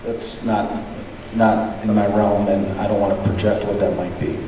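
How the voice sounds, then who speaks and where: Brief: male superintendent, measured and diplomatic, lowish voice, far from the mic in a reverberant hall. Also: flat delivery, dark tone. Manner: measured, diplomatic, repeats words when starting. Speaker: school superintendent. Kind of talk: answering questions at a public meeting. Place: large meeting hall. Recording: echoey hall, distant mic, background noise.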